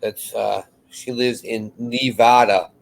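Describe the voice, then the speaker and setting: A man's voice: mid-range voice, fairly even intonation, slow, vocal fry, low-quality recording. Casual rambling man in easy conversation. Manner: rambling, repeats and restarts phrases. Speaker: co-host of a live chat show. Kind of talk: casual chat.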